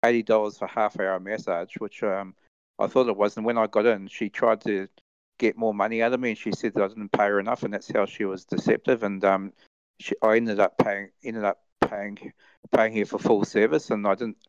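A man chatting as a guest in a halting, repetitive, rambling way, over dull lo-fi audio. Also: on the low side, level tone, smooth, dry recording. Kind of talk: casual chat, anecdote telling.

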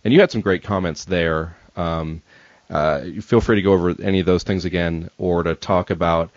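A man with a deep voice hosts a casual interview. He phrases things tentatively and circles toward his point. The recording is dry, with a dull, lo-fi tone. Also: steady tone, normal speed, dry recording. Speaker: podcast host, interviewer. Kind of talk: casual, unscripted interview questions. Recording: dry but dull, lo-fi audio.

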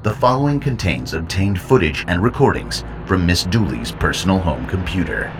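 A man narrating a scripted horror report in a formal, measured manner. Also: low voice, expressive, noisy background. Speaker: narrator and host.

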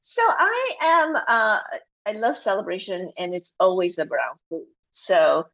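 A woman's voice: medium pitch, animated, measured pace, fairly bright tone, highs cut off. A woman from Malaysia, a guest in a casual interview, talking animatedly with many fillers and restarts.

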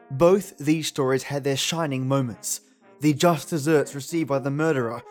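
Slightly high-voiced, playful male podcast host. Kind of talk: chatty commentary on old radio stories.

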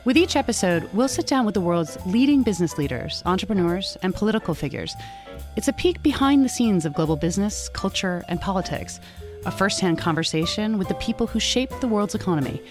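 A woman's voice: medium pitch, lively intonation, fairly quick, over music. A woman from the United States hosting a live onstage interview, upbeat and chatty with frequent ums. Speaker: interview host and magazine editor. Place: onstage before an audience.

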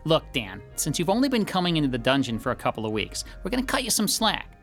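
A man's voice: a little high, wildly swooping pitch, fast talker, fairly bright tone, faint music behind, exaggerated voice. A man playing an argumentative, sarcastic comic book geek with a skeptical manner in a radio drama. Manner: skeptical, exasperated.

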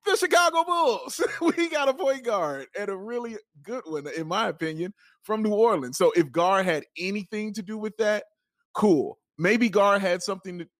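A man with a somewhat high-pitched voice banters as a sports podcast co-host. His delivery is casual, playful and emphatic, recorded dry with little room sound. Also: animated, moderate pace, fairly bright tone, dry recording.

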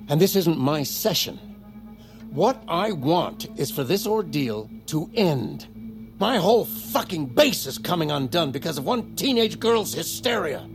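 An actor playing an impatient, sarcastic and commanding army corporal in an audio drama, with a clipped, threatening delivery. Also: male voice, on the high side, very animated, measured pace, faint music behind. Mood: angry, affronted.

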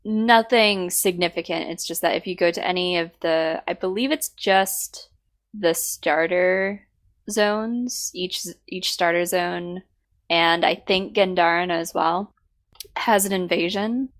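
A woman co-hosts a casual podcast with a worn, hoarse voice from a sore throat. She sits slightly low in pitch and talks in halting stretches full of fillers. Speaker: podcast co-host.

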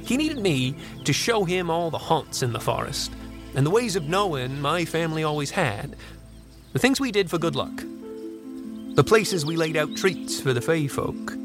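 An actor playing an old country man who wistfully recalls a strange boyhood in folksy, unhurried asides for an audio drama. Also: fairly high pitch, lively intonation, music in the background, natural voice. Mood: ominous, wistful. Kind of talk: first-person storytelling in an audio drama.

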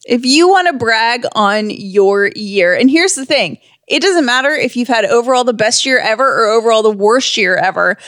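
A woman's voice: a little high, expressive, normal speed, hardly any pauses, fairly bright tone, dry recording, studio quality. A woman co-hosting a podcast in a casual, chatty style. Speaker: podcast co-host.

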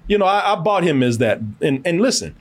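A man reviews a film casually as a podcast host, in a slightly high, husky voice. His pitch moves around a lot as he tells the story. He is emphatic, repeats himself and swears freely. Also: fairly quick. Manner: animated, emphatic, repetitive, cursing freely.

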